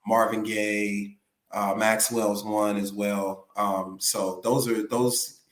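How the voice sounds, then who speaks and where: A man's voice: lowish voice, flat delivery, takes it slowly, roomy sound, a little hiss or hum. A male singer being interviewed, talking casually and rambling with lots of "you know what I'm saying". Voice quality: raspy.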